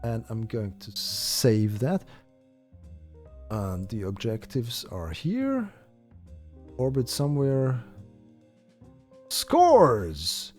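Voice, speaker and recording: A man's voice: medium pitch, big pitch swings, very slow, long pauses, darkish tone, faint music behind. A man whose first language is German, chatting through a live game stream and thinking aloud with many fillers and restarts. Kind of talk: live-stream gameplay chat.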